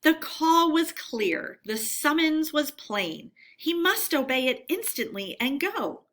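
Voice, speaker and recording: A woman reading aloud as a teacher, narrating a storybook expressively and deliberately. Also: high-pitched, sing-song, fairly bright tone.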